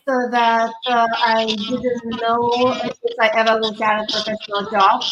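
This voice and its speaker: A woman with a Lithuanian accent speaks in a fairly high, very even voice as an interview guest. She answers earnestly and haltingly, recorded in an echoey room. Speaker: interview guest, career coach. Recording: echoey room with background noise.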